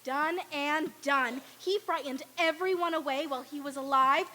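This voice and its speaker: A woman's voice: sits high, normal speed, sharp tone. A woman playing a brazen, gleeful old scavenger woman in a staged radio play reading.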